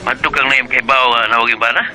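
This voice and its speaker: An actor playing a young, controlling Bisaya husband in a Filipino radio drama. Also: male voice, mid-range voice, flat delivery, quick pace, bright, forceful tone, rough recording. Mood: jealous, suspicious.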